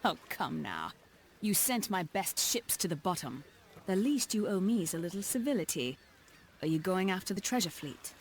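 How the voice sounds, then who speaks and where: A woman's voice: on the low side, animated, moderate pace. An actress playing a cocky, sly female pirate captain in a game cutscene, teasing and tough. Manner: teasing, bold. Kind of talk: video game cutscene acting.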